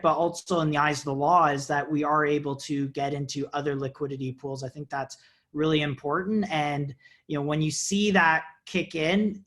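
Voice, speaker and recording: A man in his thirties answers questions on a video call, speaking through an earphone mic. His voice sits a little high, and he explains things with eager energy, often pausing on an uh. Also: a bit bright. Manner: enthusiastic, explains at length with many uhs. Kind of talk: casual video call interview. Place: indoor office with banner. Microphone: earphone headset on video call.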